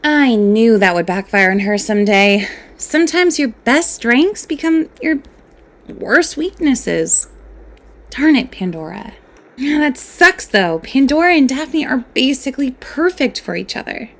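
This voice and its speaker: A woman acting a playful, nerdy, excitable fairy student with a dramatic storytelling manner in an amateur voiced roleplay. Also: high-pitched, a little slow, full character voice. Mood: playful, chatty.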